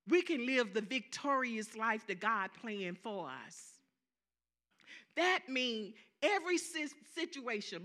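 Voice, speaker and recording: Southern lady preacher, slow and deliberate, bright punchy voice, repeats herself for emphasis, asks for amens. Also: on the high side. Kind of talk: sermon.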